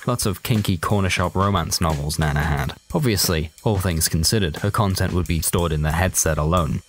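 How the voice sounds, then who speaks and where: A man narrating a first-person comic short story in a dry, colloquial, sardonic way. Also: deep voice. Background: music.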